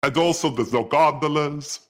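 A man's voice: on the high side, normal speed, natural voice. A man putting on a goofy mock-character with a hammy, sing-song delivery while joking through a movie. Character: goofy mock-Italian man, cheerful and silly.

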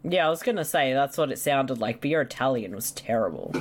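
A woman's voice: very deep voice, lively intonation, a little fast. A woman co-hosting a movie podcast in casual chat, teasing her co-host and laughing often. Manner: teasing, laughs often, reads show outro.

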